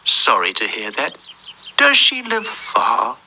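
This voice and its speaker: Give me a cunning storybook wolf played by a reader, sweet-talking slowly in a bright, lively voice. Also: mid-range voice, a little hiss or hum, exaggerated voice. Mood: sly. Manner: sly, falsely sweet. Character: cunning hungry male wolf.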